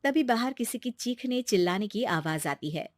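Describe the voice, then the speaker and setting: A woman's voice: a little high, animated, moderate pace, no echo, clean studio sound. A woman reading scripted narration for a children's moral story.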